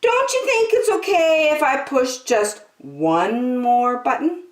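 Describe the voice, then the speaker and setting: A woman's voice: high voice, expressive, slow, echoey room, distant mic, voice pushed up. A woman voicing a curious, impulsive five-year-old girl in a storybook, eager and a little hesitant. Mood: eager, self-coaxing.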